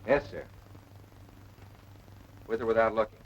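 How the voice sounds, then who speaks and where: An actor playing a green, deferential young pilot answering in clipped, respectful phrases in a 1940s military training film. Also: male voice, fairly low pitch, unhurried, close to an everyday voice. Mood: dutiful. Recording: dull noisy vintage film soundtrack.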